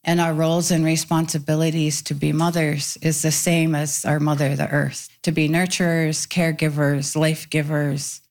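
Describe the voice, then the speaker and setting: Deep gravelly woman, slow and reflective. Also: level tone, darkish tone. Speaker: panel guest. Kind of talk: panel discussion.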